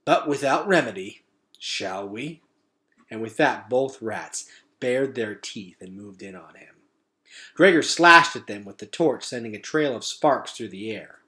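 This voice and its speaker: A man reads a children's novel aloud as a storyteller. His voice is bright and forceful, and he takes his time with expressive narration. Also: fairly low pitch, unhurried.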